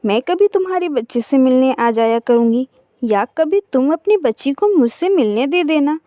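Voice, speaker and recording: A woman's voice: high voice, expressive, normal speed, warm tone, no room sound. A woman voicing a big female bird of prey in a cartoon story, bossy and scolding yet motherly. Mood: gentle, reassuring.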